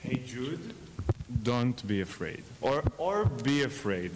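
A man's voice: mid-range voice, sing-song, slow pace, background noise. A man from Israel with an Israeli accent, chatting informally and slangily as an interviewed theatre maker. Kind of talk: casual interview chat.

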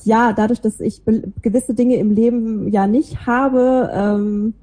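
Chatty woman with a soft-edged, husky voice. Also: a little high, steady tone, measured pace, rough recording. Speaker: interview guest, podcaster and small creative agency owner. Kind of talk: casual chat, telling about own life and work.

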